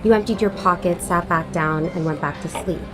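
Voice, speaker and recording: A woman's voice: lowish voice, normal speed, close to an everyday voice. A woman acting a matter-of-fact, protective character in an audio drama trailer. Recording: music under the voice.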